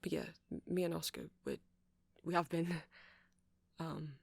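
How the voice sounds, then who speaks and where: A man's voice: fairly high pitch, measured pace, vocal fry, no echo. A man playing a shy, earnest, nerdy vampire in an ASMR roleplay, speaking in a hesitant, rambling, stammering way. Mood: shy, nervous. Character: shy, earnest, nerdy male vampire running a music shop.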